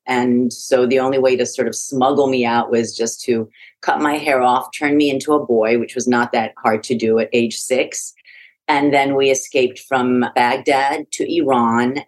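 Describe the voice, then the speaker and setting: A woman's voice: extremely low pitch, a little mellow, rough recording. A middle-aged woman from Iraq, a podcast guest telling her life story in a frank, reflective way with frequent restarts. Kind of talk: conversational interview.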